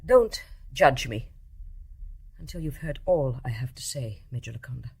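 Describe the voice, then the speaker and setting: An actress playing a principled, stubborn English nurse in a period radio drama, speaking precisely and formally with firm insistence. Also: female voice, very deep voice, lively intonation, moderate pace, vocal fry, a little mellow, slightly rough, full character voice. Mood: solemn. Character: principled, stubborn private nurse making a grave accusation. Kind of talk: 1920s stage play as radio drama.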